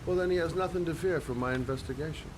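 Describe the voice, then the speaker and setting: An actor playing a wry, unintimidated private investigator in a video game drama, with a dry, polite but firm manner. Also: male voice, a little high, fast, close to an everyday voice. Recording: clear background ambient noise.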